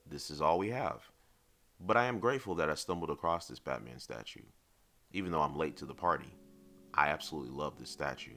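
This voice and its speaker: A man reviewing collectibles in semi-scripted narration, speaking in a measured, articulate and lightly wry way over background music. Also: low voice, fairly bright tone.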